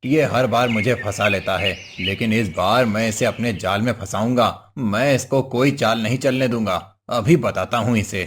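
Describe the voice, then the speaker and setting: Man acting a slow, commanding cartoon devil. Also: fairly low pitch, breathy edge. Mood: scheming. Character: menacing male devil master, easily outwitted. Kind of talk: Hindi cartoon moral story voice acting.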